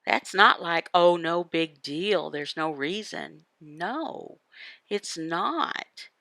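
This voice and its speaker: A woman giving a devotional Bible teaching in emphatic, halting phrases, asking questions and answering them. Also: on the low side, expressive, creaky, pushed and bright, no echo. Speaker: voice interpreter for a signed devotional. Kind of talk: devotional Bible teaching, voiced from sign language.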